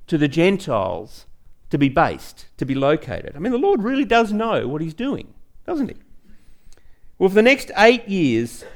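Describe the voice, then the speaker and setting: Guy preaching a sermon, pretty high voice, really up and down, chatty, repeats bits for emphasis. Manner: conversational, uses rhetorical questions and repeats phrases for emphasis. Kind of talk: sermon with Bible teaching.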